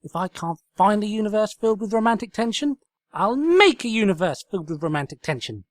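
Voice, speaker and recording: A man's voice: big pitch swings, brisk, dry recording. A man voicing a manic, bossy young female unicorn in a comedic story reading, ranting and melodramatic. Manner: shrill, ranting, insistent.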